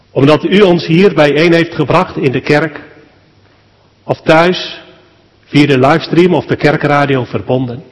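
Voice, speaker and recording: Slow, solemn man praying in a reverberant church. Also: a little high. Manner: solemn, measured, in short phrases with deliberate pauses. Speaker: pastor leading a funeral service. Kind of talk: spoken public prayer at a church thanksgiving service. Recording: long church hall reverb.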